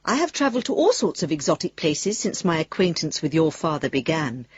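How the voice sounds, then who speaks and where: A woman's voice: low-pitched, big pitch swings, a little fast, breathy edge, no room sound, heavily acted. An actress playing a fond, worldly bride, reading her letter aloud expressively in a radio drama. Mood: fond, delighted. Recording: dull, muffled radio recording.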